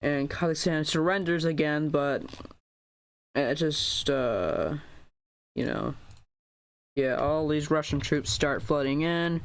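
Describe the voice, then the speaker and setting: Young man, American, casual map commentary, meandering, slightly high-pitched. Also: slow pace, long pauses, clean tone. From United States. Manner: rambling, many fillers and restarts. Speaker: map video creator.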